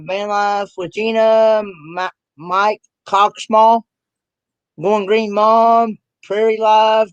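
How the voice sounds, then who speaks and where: A man joining a live stream as a guest, chatting casually and slowly greeting viewers one by one. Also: high voice, clear, resonant tone, no echo. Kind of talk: casual chat. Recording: dull dry lo-fi remote audio.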